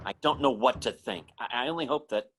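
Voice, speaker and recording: A man's voice: medium pitch, fairly quick, a bit bright, close to an everyday voice. A man playing an earnest, anxious young fiancé in an old-time radio drama, speaking with theatrical hesitancy. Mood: worried. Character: anxious, polite young fiancé telling his eerie tale.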